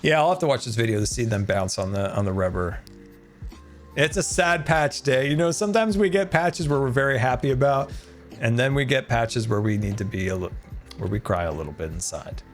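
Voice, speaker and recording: A man chatting casually on a game live stream, excitable and talkative, thanking his viewers as he plays. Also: medium pitch, brisk, soft background music.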